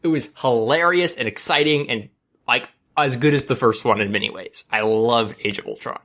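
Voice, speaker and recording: An enthusiastic man in a relaxed group chat as podcast host. His voice is bright and forward, at an ordinary male pitch. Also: normal speed. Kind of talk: casual group chat about films.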